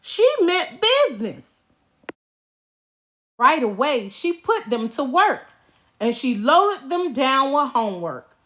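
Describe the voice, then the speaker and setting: A woman reads a children's storybook aloud. Her high voice moves through wide, expressive swings of pitch at an unhurried, very slow pace. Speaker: storybook reader.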